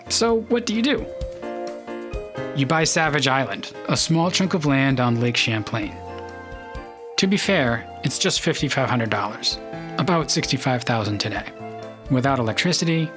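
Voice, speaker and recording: A male podcast host with a mid-range voice reads scripted narration. He tells it wryly, with prominent guitar music underneath. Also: mid-range voice. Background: loud plucked guitar music.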